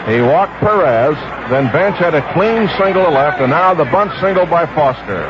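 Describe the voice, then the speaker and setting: A man's voice: a little high, lively intonation, normal speed. A man calling baseball play-by-play on live radio in terse, clipped phrases. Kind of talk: live radio sports commentary. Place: outdoor ballpark broadcast. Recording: dull vintage radio, loud crowd and noise. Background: loud stadium crowd.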